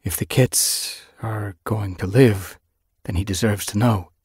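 A man voicing a wise old female cat in an audiobook story, stern but caring, with measured, admonishing speech. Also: lowish voice, fairly even intonation, a little mellow, breathy edge, dry recording, studio quality, voice pushed up. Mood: brisk, insistent.

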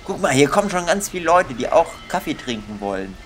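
A man's voice: a little high, very animated, fairly quick, soft background music. A young man giving casual gaming commentary in a grumbling, comically exasperated manner. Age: young adult. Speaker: let's player.

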